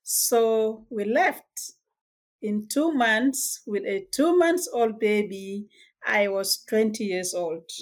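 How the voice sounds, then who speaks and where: A woman from Sudan, telling her life story as a guest in a podcast interview, measured and reflective with small hesitations. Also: mid-range voice. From South Sudan. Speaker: guest author.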